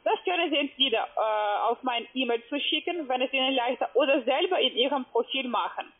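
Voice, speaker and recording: A man working as a scam caller on the phone, halting and insistent, with broken grammar. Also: extremely high pitch. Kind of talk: phone conversation. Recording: tinny phone line.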